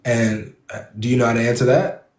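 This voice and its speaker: A man's voice: mid-range voice, little pitch movement, fairly quick, a little mellow, roomy sound. A man hosting an online debate panel who presses his point with repeated, probing questions. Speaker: debate host.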